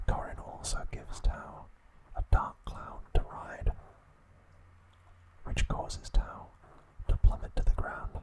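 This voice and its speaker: A man narrating an episode recap as an ASMR host, speaking deliberately in short phrases with pauses. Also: darkish tone, low-quality recording.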